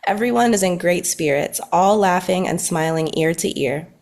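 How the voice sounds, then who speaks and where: A woman's voice: lowish voice, moderate pace, a little mellow. A woman reading scripted narration as the narrator at a table read.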